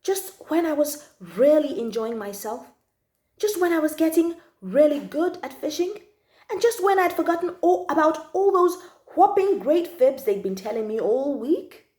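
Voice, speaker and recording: A woman reading a children's story aloud in an expressive, emphatic manner, drawing out key words and adding little asides to listeners. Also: high-pitched, normal speed, echoey room. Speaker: storytime channel host reading children's books.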